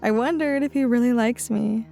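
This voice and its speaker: Actress plays a reserved young hotel worker; high, quiet, guarded. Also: female voice, moderate pace, gravelly, faint music behind. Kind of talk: audio drama acting.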